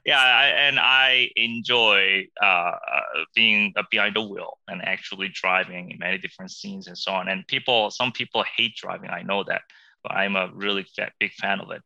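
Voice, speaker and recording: A middle-aged man from Korea, a guest talking casually in an interview, often pausing to search for words and chuckling. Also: sits low, fairly even intonation, vocal fry, bright, forceful tone, no room sound, muffled recording.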